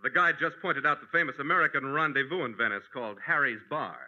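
A man's voice: medium pitch, quick pace, dull audio, lightly acted. A man acting a helpful fellow tourist who interprets, matter-of-fact, in a 1950s radio comedy. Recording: very noisy, hissy 1950s radio recording.

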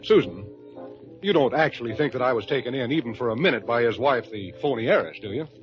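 Actor as a wry easygoing husband. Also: male voice, medium pitch, expressive, fast, over music, close to an everyday voice. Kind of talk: 1950s radio comedy acting. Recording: dull old radio recording.

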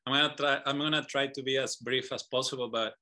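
A man's voice: mid-range voice, fairly even intonation, fast, sharp tone. A man from Mexico, a business association founder, telling his story as a guest at an online meetup, earnest and often repeating words as he searches for phrasing.

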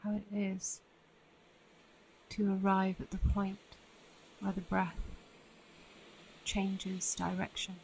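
Slow, even-toned woman guiding meditation. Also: medium pitch, vocal fry, a little hiss or hum, rough recording. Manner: soothing, unhurried with long pauses. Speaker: meditation guide. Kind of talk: guided meditation narration.

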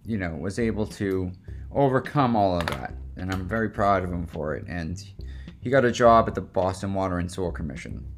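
A man hosting a casual conversational interview, speaking in a halting, hesitant way. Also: low voice, animated. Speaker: podcast host interviewing his father. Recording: music playing behind the voice.

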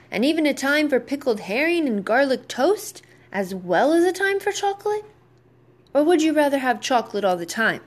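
A woman voicing an exasperated father in a children's story, reasoning insistently with his son. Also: on the high side. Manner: insistent, questioning, persistent.

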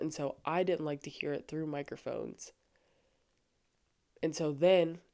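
A young adult woman with a low voice talking earnestly and casually on her faith podcast, with frequent fillers. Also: dark tone, clear, resonant tone. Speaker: podcast host. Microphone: studio microphone.

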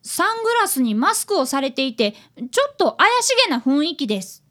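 A woman voices a schoolboy apprentice detective for a radio drama, with a very high, lively voice. He is earnest and unfailingly polite, quick to apologize. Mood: earnest narrating. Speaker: narrator and character in a radio drama. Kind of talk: Japanese radio drama acting.

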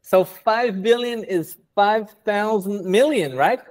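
A man's voice: high voice, slow pace. A man hosting a podcast interview, asking questions in a casual, chatty way. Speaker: podcast host.

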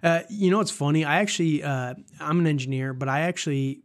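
A man's voice: a little high, fast talker. A man with a cold, sounding stuffed up, hosting a casual sports podcast in a rambling, chatty way and laughing often. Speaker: podcast host.

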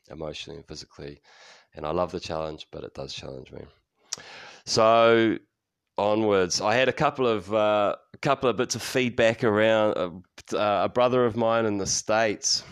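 A man in his fifties with a New Zealand accent speaks in a very deep, smooth voice. He rambles openly through a solo podcast, restarting sentences and swearing freely. The sound is dry and close. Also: no echo. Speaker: podcast host. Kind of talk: casual solo podcast monologue.

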